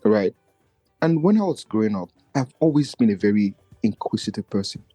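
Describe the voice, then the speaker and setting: A man's voice: lively intonation, moderate pace, warm tone, husky, no echo, soft background music. A man, a podcast guest talking in an earnest, searching way and often restarting his thoughts. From Nigeria. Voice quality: low voice he feels does not carry. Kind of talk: reflective personal conversation.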